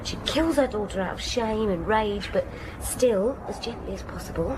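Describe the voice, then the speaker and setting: An actress playing a shrewd, dry detective sidekick in a radio drama, theorising in a measured, naturalistic way. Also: female voice, medium pitch, animated, noisy background, lightly acted. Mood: speculative.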